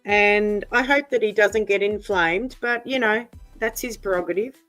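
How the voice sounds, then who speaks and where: Aussie woman host, mid-pitched, dry close mic, friendly and teasing, stammers a lot. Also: normal speed, fairly bright tone, no room sound, faint music behind. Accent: Australian. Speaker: live-stream host. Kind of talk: casual live-stream chat.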